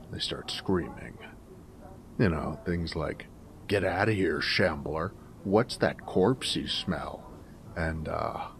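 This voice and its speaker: A man playing a snarky but friendly ghoul companion in an audio drama, with a gravelly voice and a wry, joking drawl. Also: very deep voice, background noise, full character voice. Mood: easygoing, self-deprecating, then sardonic amusement. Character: snarky, friendly male ghoul wasteland companion, weathered and irradiated. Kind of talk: character roleplay audio drama.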